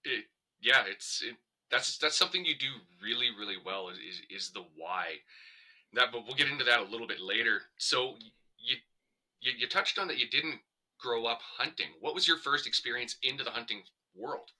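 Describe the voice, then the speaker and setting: A man with a very bright, forceful voice works as a talk show host. He speaks as an interviewer introducing and questioning a guest, with a sharp, cutting tone. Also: medium pitch, normal speed.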